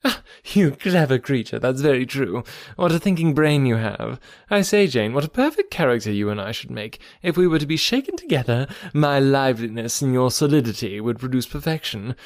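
A man's voice: medium pitch, sing-song, fairly quick, hardly any pauses, dry recording, clean studio sound, pitched above the performer's own voice. Man doing a self-important, affected married lady. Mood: smug, vivacious. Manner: affected, showy, emphatic, patronising. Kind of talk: audiobook character voice, classic novel.

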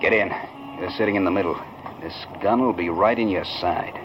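A man's voice: sits low, normal speed, close to an everyday voice. An actor playing a threatening small-time gunman in a 1940s radio drama, barking clipped orders. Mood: menacing. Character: menacing gruff small-time crook with a gun. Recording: dull, noisy old radio recording.

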